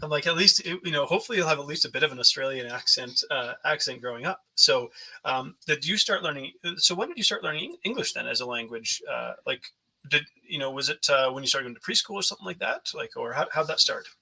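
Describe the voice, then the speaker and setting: A man's voice: fairly high pitch, animated, fast, vocal fry, bright, forceful tone, husky, rough recording. A man hosting a podcast interview, chatty and rambling, often laughing as he talks. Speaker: podcast host. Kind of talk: casual interview chat.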